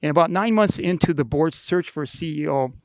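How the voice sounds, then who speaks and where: An older American man giving an informal speech to a gathering in a plainspoken, folksy, halting way. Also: a little high, clear, resonant tone, no room sound, studio quality. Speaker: camp leader announcing a donor-funded project.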